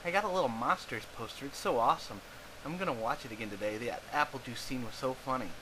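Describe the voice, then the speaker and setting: A man's voice: mid-range voice, quick pace, close to an everyday voice. Man playing an eager, goofy teen boy, noisy recording. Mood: eager teasing. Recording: clearly noisy amateur home recording.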